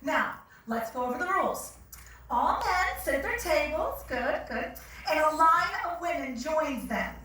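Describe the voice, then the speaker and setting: Woman acting grand event hostess, mock-gracious, commanding, very high voice, distant mic, theatre echo. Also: lively intonation, measured pace, pushed and bright, full character voice. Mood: grandly welcoming. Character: grandly theatrical, menacingly cheerful female speed-dating hostess. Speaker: actor in a staged reading. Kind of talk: theatrical announcement to a room. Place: theatre stage. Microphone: far audience camera.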